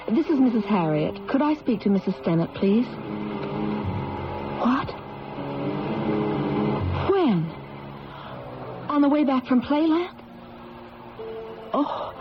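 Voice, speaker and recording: An anxious, protective mother in a vintage radio thriller. Her delivery is earnest, hesitant and emotive. The sound is muffled old radio with loud music underneath. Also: high-pitched. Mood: shocked. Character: anxious, protective married mother haunted by foresight. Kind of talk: 1970s radio drama acting.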